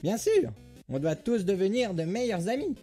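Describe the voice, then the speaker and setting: A bombastic skeleton in a comic dub, eager as a child. The voice is high and mellow, with big theatrical swings, over music. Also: male voice, sing-song, moderate pace. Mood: enthusiastic, proud. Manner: theatrical, declamatory. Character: bombastic, childishly enthusiastic male skeleton, friendship-obsessed.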